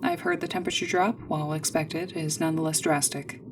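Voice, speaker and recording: A woman playing a polite android guide in a sci-fi ASMR roleplay, speaking in a formal, precise, measured way. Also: sits low, very animated, recorded from a distance, noisy background. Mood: formal, helpful. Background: music.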